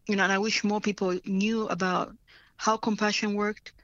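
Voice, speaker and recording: A woman's voice: medium pitch, little pitch movement, normal speed, a bit bright, no room sound. An earnest woman from Africa talks through leadership in an interview, restarting her phrases and often checking in with the listener. Her voice comes through a slightly muffled line. The sound is close and dry. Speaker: guest, nonprofit leader. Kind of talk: reflective interview answers.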